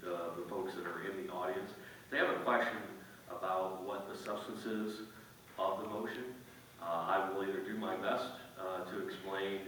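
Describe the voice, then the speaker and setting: A man's voice: low voice, fairly bright tone. A man speaking formally as chairman of a council meeting, running through procedure. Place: indoor council meeting room. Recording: echoey council chamber, distant mic, faint noise.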